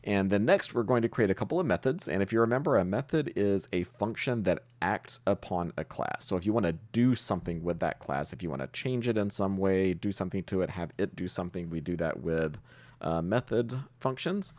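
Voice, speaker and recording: A man's voice: sits low, lively intonation, fairly quick, a little mellow, no echo. A man presenting an informal step-by-step screencast tutorial, explaining things as he goes. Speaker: host of a programming tutorial channel.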